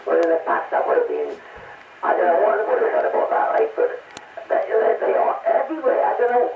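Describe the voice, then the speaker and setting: An older man with a North East English accent chatting in a halting, chuckling way over CB radio. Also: high-pitched, big pitch swings, gravelly, roomy sound. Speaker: amateur CB radio operator. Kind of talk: casual radio chat. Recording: noisy, narrow-band, distant CB radio transmission.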